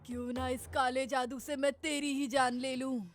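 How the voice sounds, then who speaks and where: Woman playing old witch, high voice, menacing, horror cartoon, music behind. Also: level tone, moderate pace, natural voice. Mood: mocking, threatening. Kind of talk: animated horror story acting.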